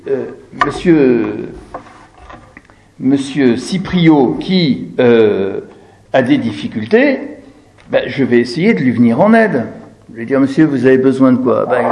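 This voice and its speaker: A man's voice: mid-range voice, animated, slow pace, background noise. A man giving an explanatory talk as a lecturer, didactic, posing questions and answering them, repeating key phrases for emphasis. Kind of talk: explanatory talk, commenting on quoted text.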